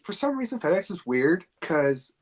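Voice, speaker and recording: A man's voice: sits high, sing-song, moderate pace. A man co-hosting a podcast, chatting casually and jokingly in slangy speech. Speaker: podcast co-host.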